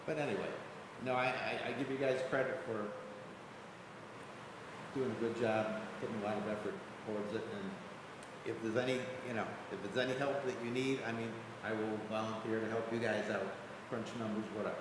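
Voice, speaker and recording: A man, a new commission member, offering help in friendly, halting remarks. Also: on the low side, steady tone. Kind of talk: casual meeting remarks. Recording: very echoey hall with hiss.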